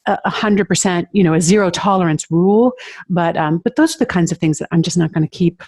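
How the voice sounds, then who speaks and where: A woman's voice: lowish voice, fast talker, a little mellow. Middle-aged woman, nutrition coach guest, reflective, hesitant phrasing, casual interview. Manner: thoughtful and reflective, with frequent hesitations and self-questions.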